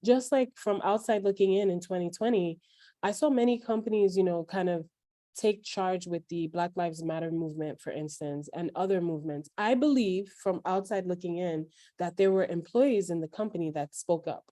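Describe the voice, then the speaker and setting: Woman, Nigerian and New York accent, mellow tone, dry close sound, earnest advice-giving. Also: on the low side, normal speed, dry recording. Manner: earnest, advising, speaks up plainly. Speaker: guest. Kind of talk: interview conversation.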